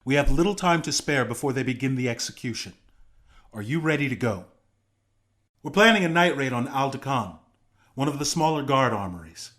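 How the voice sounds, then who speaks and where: An actor playing an earnest, friendly young rebel leader in a video game, speaking in a sincere, conversational way. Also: male voice, medium pitch, fairly bright tone, natural voice. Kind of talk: video game character dialogue.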